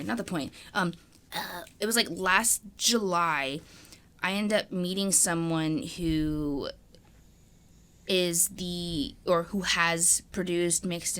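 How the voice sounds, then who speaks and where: A woman's voice: on the low side, fairly bright tone. A young woman co-hosting a podcast, rambling in casual chat with lots of fillers and swearing. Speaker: podcast co-host.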